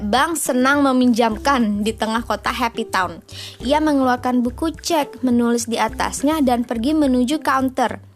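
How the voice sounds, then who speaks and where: Female storyteller, Indonesian accent, high voice, expressive motherly bedtime reading over soft music. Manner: expressive, informal asides to child. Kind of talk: children's storybook narration.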